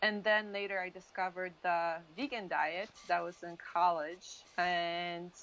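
The sound is a dull, lo-fi video call. A woman from Poland, a native Polish speaker, talks in a fairly low voice. She tells her own story casually, in a halting, rambling way. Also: fairly bright tone. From Poland, moved to US at thirteen. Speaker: interview guest. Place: dim indoor room, video call. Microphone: wired earphone mic.

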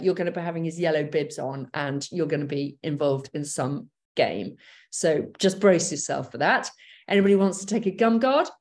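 A woman's voice: deep voice, a little mellow. A middle-aged woman giving an online pre-departure briefing over a video call, chatty and enthusiastic, with plenty of fillers and restarts. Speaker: gap year program briefer. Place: home office, indoors.

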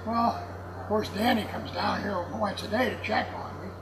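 A man's voice: high-pitched, normal speed. A man in his seventies rambling through casual anecdotes in a folksy, salty way. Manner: folksy, salty, laughs while telling stories. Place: indoors in a warehouse with block walls. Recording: clearly noisy warehouse room ambience.

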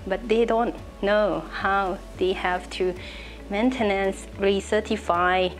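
A woman's voice: medium pitch, fairly even intonation, slow pace, soft background music, low-quality recording. A young woman from Laos with a Lao accent, answering interview questions in a hesitant, conversational way. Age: young adult. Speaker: interviewee, trainee. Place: indoor office room. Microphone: lapel.

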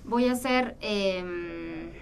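A woman from Salta speaks with an Argentine accent as a guest in a radio studio, pausing often and restarting her sentences. Her pitch sits in a middle range. She is picked up on a distant mic with faint noise behind her. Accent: Argentine Spanish. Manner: hesitant, many fillers and restarts. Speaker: guest guitarist. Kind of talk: casual radio interview.

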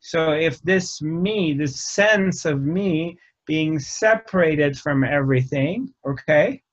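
A man in his fifties giving a slow, halting spiritual talk to camera, often restarting his phrases, recorded in a dark indoor room. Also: a little high. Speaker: spiritual teacher. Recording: dull lo-fi sound.

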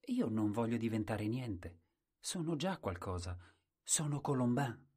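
A man's voice: medium pitch, expressive, measured pace, husky. A man voicing a humble, simple-minded young man at a royal court, speaking meekly and crying out eagerly. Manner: soft, humble, wide-eyed replies, cries out eagerly.

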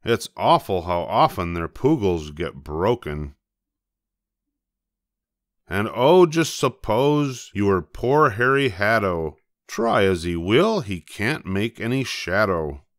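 An older man reading a rhyming children's storybook aloud, expressively, with playful emphasis and drawn-out words. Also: fairly low pitch, sing-song, dry recording, studio quality. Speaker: storybook reader.

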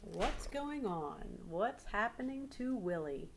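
A middle-aged woman reads aloud expressively like a teacher, pausing to prompt young listeners. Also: mid-range voice, big pitch swings. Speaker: teacher reading a picture book aloud to pupils. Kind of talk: read-aloud storytelling with questions to children. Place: small living room indoors. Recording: clearly noisy home webcam recording.

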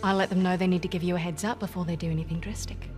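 Blunt, low-voiced mercenary commander played by an actress. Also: female voice, fairly even intonation, rapid-fire, darkish tone, natural voice. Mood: firm, impatient. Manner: firm, commanding, impatient. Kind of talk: cinematic video game acting. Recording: music bed behind dialogue.

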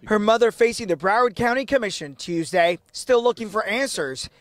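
A man's voice: high voice, animated, moderate pace. A man in his thirties reporting live for local television news. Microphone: lapel mic.

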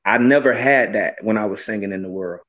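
A man's voice: fairly low pitch, a little fast. A man in his thirties, a gospel singer being interviewed over a video call from a small room, talking in an earnest, reflective way with many "you know" fillers. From Florida, USA. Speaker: gospel recording artist, guest. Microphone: webcam on a video call, close framing. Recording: slightly dull lo-fi video call audio.

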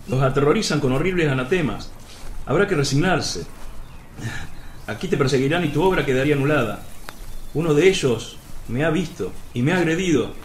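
A man's voice: a little high, lightly acted. An actor playing an indignant, agitated disciple who pleads with his master in a dramatic religious radio play. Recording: roomy, with noisy outdoor ambience.

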